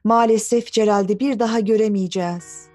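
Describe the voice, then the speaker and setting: A woman voicing a kind, patient grown-up woman who explains things gently to small children in a cartoon dub. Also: medium pitch, steady tone, fairly quick, close to an everyday voice. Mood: gentle, regretful. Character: kind, patient female preschool teacher or mother. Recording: studio dub over background music.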